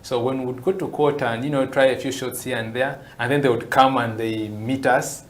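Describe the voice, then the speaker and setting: Man, mid-pitched voice, interview guest recalling his past, chatty with fillers. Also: fairly even intonation, a little fast. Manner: storytelling, with frequent uhs and repeats. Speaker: interview guest, basketball player. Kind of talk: reminiscing in an interview.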